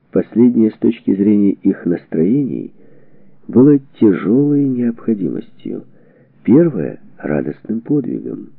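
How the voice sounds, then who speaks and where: A man narrating a historical book from a script, with measured, deliberate phrasing and pauses. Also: medium pitch, vocal fry, no echo. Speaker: audiobook narrator. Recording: band-limited, tinny phone-like sound.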